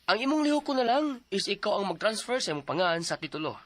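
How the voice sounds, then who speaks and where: A polite, persuasive Cebuano land seller, businesslike in manner. The pitch swings widely in a lively delivery typical of Filipino radio drama. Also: fast talker.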